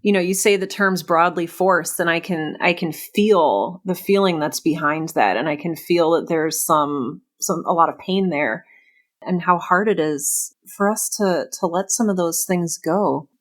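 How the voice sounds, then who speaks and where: Woman podcast host, slightly low voice. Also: measured pace. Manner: effusive, reflective, thinking aloud. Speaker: podcast host interviewing a writer. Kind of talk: casual podcast interview.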